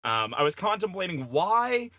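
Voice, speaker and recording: Bright-voiced man bantering on a podcast. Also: high voice, very animated, normal speed, dry recording, studio quality. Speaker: podcast panelist. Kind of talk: casual comedic panel chat.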